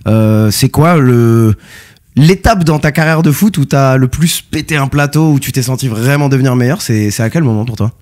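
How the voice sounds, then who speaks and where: A man from France hosts a relaxed podcast chat, his voice at a middle pitch. He asks curious questions and teases his guest. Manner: curious questioner, teasing, quick to laugh. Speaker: podcast host. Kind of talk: casual interview.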